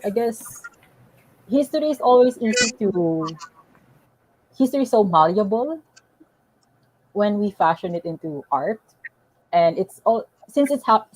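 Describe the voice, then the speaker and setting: A young woman speaking as a graduate student on a book-talk panel, explaining her points in a measured way with small restarts. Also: lowish voice, darkish tone, slight background noise, rough recording. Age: young adult. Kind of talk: panel discussion, academic.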